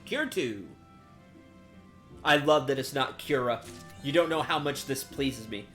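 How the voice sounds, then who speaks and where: A man giving casual live commentary as a video game streamer, halting, rambling and amused. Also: medium pitch, fairly bright tone, faint music behind.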